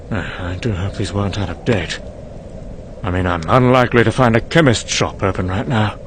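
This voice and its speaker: A man's voice: fairly low pitch, lightly acted. A man playing a wry, cynical scientist in a dramatic motion comic monologue, musing and condescending. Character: wry, cynical male scientist recounting a doomed secret programme.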